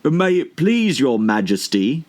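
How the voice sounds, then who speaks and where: A man's voice: mid-range voice, sing-song, takes it slowly, a little mellow, pitched above the performer's own voice. A man voicing humble, obedient male underlings of a royal court in a storybook, with deferential manner.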